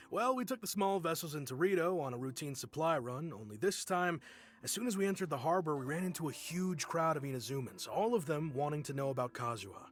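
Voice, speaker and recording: A man's voice: on the high side, close to an everyday voice. A man playing an easygoing, skeptical young sailor in an anime game, talking in a casual, chatty way.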